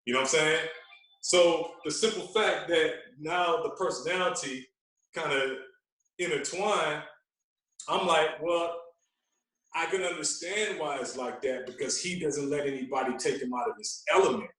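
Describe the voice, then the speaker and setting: A man talking casually as a podcast guest, telling stories in an animated way and repeating phrases for emphasis. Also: fairly high pitch, moderate pace. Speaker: guest, veteran radio personality. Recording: echoey room, distant from mic.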